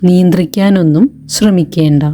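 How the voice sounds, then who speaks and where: A woman leading a guided meditation in a gentle, calm, deliberate way, leaving long pauses between short phrases. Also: lowish voice, a little hiss or hum. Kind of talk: slow guided meditation narration.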